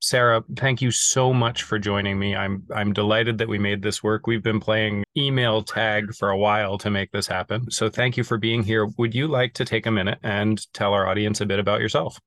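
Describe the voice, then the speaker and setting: Male podcast host, low register, eager interviewer who stumbles into sentences, dry close recording. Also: steady tone, normal speed, hardly any pauses, fairly bright tone, no room sound. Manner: eager, repeats words as he starts sentences. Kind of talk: casual interview chat. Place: remote call.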